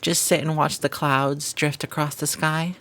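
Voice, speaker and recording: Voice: mid-range voice, level tone, measured pace, a bit bright, natural voice. A performer playing a flirty, devoted maid who teases and gossips in formal, flowery phrasing, in a scripted romantic audio roleplay. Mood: affectionate, teasing.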